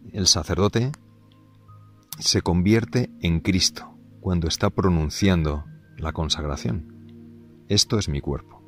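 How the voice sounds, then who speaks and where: Male priest, very low voice, unscripted catechesis, soft halting delivery, light music bed. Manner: gentle, halting, many fillers and restarts.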